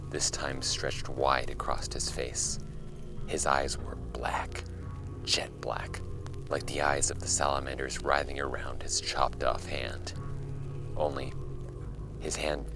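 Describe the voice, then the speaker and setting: Very deep gravelly male horror archivist. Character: male case archivist narrating eerie witness files, measured and skeptical. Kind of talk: scripted horror audio drama narration. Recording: music under the voice. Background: music.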